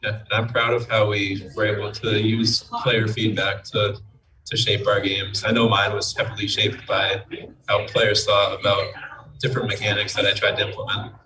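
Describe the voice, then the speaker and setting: A man's voice: lowish voice, level tone, moderate pace. A man giving matter-of-fact, unscripted interview answers as a game developer. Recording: distant mic, crowd chatter behind.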